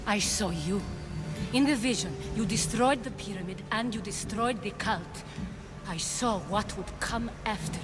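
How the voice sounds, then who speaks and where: An actress playing a composed, calculating former cult leader in a video game cutscene, with a measured, persuasive delivery. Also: female voice, mid-range voice, lightly acted. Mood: reflective, visionary. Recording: music behind the voice.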